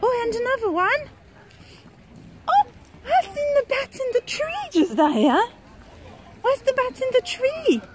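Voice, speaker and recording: A woman chatting casually and excitedly to small children, in an exclamatory, coaxing, sing-song way, filmed on a phone outdoors. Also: very high-pitched, measured pace, long pauses, slight background noise. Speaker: vlogging mother. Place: outdoors on a woodland trail. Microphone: handheld phone, behind the camera.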